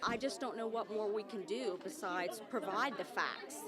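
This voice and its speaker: American woman, interview soundbite, mid-pitched, very loud crowd behind. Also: normal speed.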